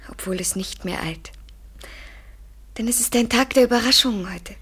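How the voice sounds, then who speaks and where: Breathy woman in ecstatic, desperate love. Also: fairly high pitch, a bit bright. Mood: expectant, nervous joy. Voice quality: breathy, often near whisper. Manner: slow, halting, intense, poetic delivery. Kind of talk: German literary radio play acting. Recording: 1970s radio drama studio recording.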